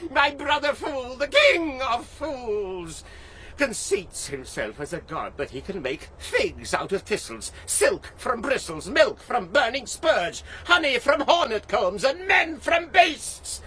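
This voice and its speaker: An actor playing a wild, witty, mocking court jester in an audio drama, declaiming archaic verse theatrically. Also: male voice, big pitch swings, slow, bright, forceful tone, heavily acted. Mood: manic glee. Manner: swooping between low musing and shrill cries.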